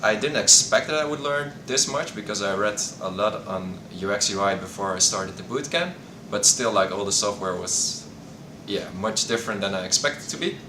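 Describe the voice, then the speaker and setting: A man's voice: on the low side, normal speed. A man, a bootcamp graduate, talking in a plain, matter-of-fact way. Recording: echoey room with background noise. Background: ambient noise.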